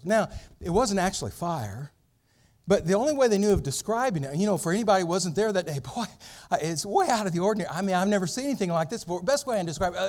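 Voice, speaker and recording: A middle-aged male pastor preaching an expository sermon, telling the story dramatically with big swings in loudness. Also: sits high.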